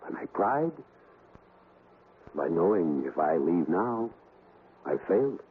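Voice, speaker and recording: Low-voiced, animated, stubborn ghost hunter. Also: male voice, takes it slowly, heavily acted. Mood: determined, probing. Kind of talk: 1970s radio drama acting. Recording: tinny, noisy old radio broadcast.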